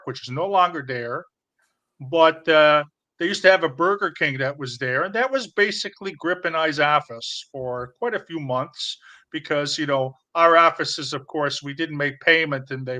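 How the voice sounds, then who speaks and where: A bragging, shady middle-aged businessman, as a man puts him on for a comedy stream. He rambles through his schemes in a Chicago accent, stumbling over fillers. His voice is bright and forceful and sits a little high. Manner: rambling, boastful, full of fillers. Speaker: stream co-host.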